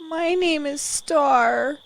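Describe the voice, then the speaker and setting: Audio drama child, female performer as eight-year-old girl, plain-spoken and guarded, high voice, very slow pace. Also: gravelly, heavily acted, rough recording. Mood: sad, matter-of-fact. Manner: simple, childlike, slow delivery.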